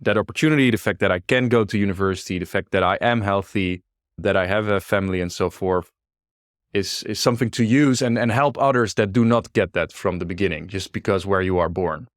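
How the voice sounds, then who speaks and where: Dutch man, low voice, dry sound. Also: a little fast, no echo. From Netherlands. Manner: relaxed, frequent restarts and soft laughs. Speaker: startup founder guest. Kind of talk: casual interview chat.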